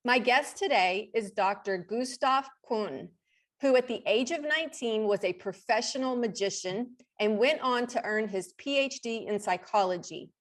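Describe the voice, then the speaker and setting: A woman's voice: fairly high pitch, normal speed. A woman hosting an interview on her online channel, upbeat, with brief affirmations. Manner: upbeat, reading the guest bio, brief affirmations. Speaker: host.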